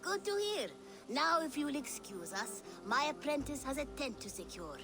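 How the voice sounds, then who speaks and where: An actress voicing a shrewd, self-assured female merchant in a video game, with smooth, formal salesmanship. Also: high voice, very animated, moderate pace, fairly bright tone, soft background music, heavily acted. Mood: sly, inviting.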